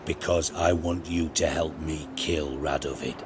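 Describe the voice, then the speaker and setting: A man's voice: extremely low pitch, steady tone, measured pace, noisy background. A man playing a cynical, crude-tongued older spymaster in a video game cutscene, blunt and theatrical in delivery. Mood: earnest, scheming.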